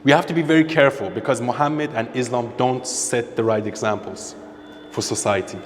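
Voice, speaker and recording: A man's voice: medium pitch, a little fast, in a large hall. A man from Iran, interviewed on a podcast, who speaks emphatically and repeats his points for stress. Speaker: interviewee, social media creator. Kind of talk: opinionated conversation.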